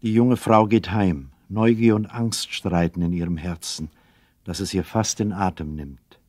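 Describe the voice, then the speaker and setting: A man playing the earnest narrator of a children's radio play, telling the story in a measured, literary way. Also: low voice, a little mellow, dry recording.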